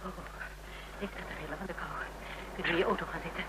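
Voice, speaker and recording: A man's voice: a little high, steady tone, fast talker, close to an everyday voice. A man acting a shaken but wry survivor of a killer, colloquial and downplaying danger, in a radio crime drama. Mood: shivering, subdued. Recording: noisy radio drama recording.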